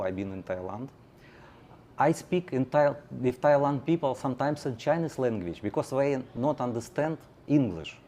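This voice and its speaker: A male channel host in casual chat, speaking halting non-native English with many fillers and a native Russian background. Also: medium pitch, a little mellow.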